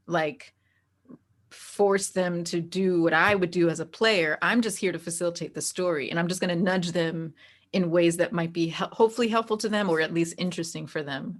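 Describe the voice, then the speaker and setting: Lower-voiced woman, chatty and quick to laugh. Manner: animated, laughs easily while talking. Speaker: interview guest, tabletop game master. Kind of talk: casual interview chat, telling anecdotes.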